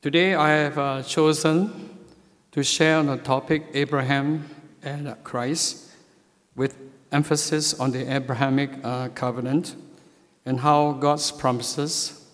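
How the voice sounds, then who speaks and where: A man preaching a sermon as a church elder, speaking in a slow, measured way with frequent hesitations. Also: medium pitch, fairly even intonation, fairly bright tone. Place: church sanctuary. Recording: long hall reverb.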